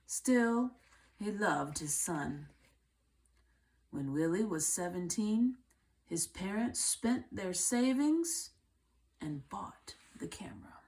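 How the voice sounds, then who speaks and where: A woman reading a children's book aloud in a measured way, with deliberate phrasing and pauses. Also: mid-range voice, lively intonation, darkish tone. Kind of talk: read-aloud storybook narration.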